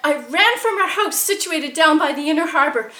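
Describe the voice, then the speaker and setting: A woman playing the ghost of a murdered 1870s wife, telling her story theatrically, swinging from shrieks to hushed confiding. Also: high-pitched, animated, a little fast, reverberant. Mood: storytelling. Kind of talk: costumed ghost monologue.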